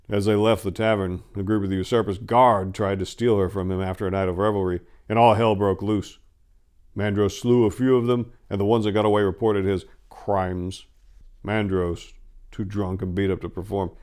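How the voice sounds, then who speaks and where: Man playing a male fantasy reveller, low voice, chatty, amused, gossiping storybook raconteur. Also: darkish tone, natural voice. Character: gossiping male drinking companion of warlords.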